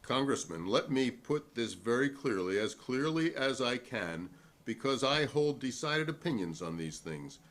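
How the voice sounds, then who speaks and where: A man's voice: mid-range voice, expressive, unhurried, a bit bright. A man playing a defiant, principled newspaperman who testifies formally and argumentatively at a 1958 hearing in a dramatic reading. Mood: indignant, firm.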